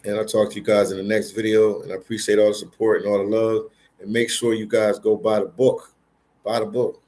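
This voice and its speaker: Laid-back deep-voiced American man. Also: flat delivery, brisk, a little mellow. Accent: American English. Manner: laid-back, slangy, teasing. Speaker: vlogger hosting a livestream. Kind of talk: casual livestream chat, reading viewer comments aloud.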